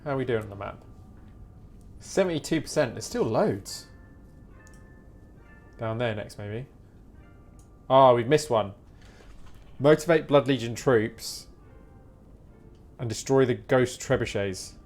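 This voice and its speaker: British man, casual game streamer, chatty running commentary, average pitch, faint music behind. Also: animated, slow, long pauses, darkish tone. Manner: chatty, reacting aloud to the game. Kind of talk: casual live gameplay commentary.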